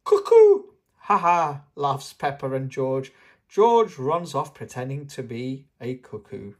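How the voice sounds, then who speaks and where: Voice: mid-range voice, expressive, a little slow. An adult host reading a children's storybook aloud expressively, speaking directly to young children. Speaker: children's book reader and channel host. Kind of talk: scripted children's storybook narration.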